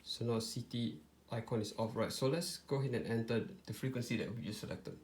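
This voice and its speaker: A man presenting an informal step-by-step tutorial, explaining in a hesitant, halting way with frequent pauses. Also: medium pitch, a little mellow, a little hiss or hum. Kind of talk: informal step-by-step product demonstration.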